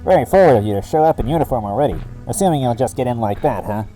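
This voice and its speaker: A man's voice: mid-range voice, lively intonation, rapid-fire, warm tone, faint music behind, lightly acted, rough recording. A man voicing a timid, jittery male Sniffet doorkeeper with a nasal, whiny way of talking, in a storybook reading. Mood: nervous. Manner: anxious, whiny. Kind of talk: storybook voice acting.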